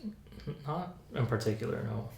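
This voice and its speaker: A soft-spoken young adult playing a patient in an ASMR roleplay, giving hesitant, understated answers. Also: mid-range voice, lively intonation, normal speed, creaky. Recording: echoey room, faint hiss.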